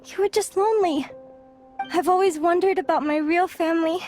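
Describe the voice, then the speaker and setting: A caring young sister in a fantasy game. Her very high voice stays soft and earnest as she talks. Faint music drifts underneath. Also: a little fast. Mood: tender. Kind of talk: video game voice acting.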